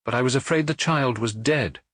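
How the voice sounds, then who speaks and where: A man voicing a broken middle-aged man who confesses in a halting, pleading way in a detective audiobook. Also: mid-range voice, fairly bright tone, no room sound, clean studio sound, close to an everyday voice. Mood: anguished.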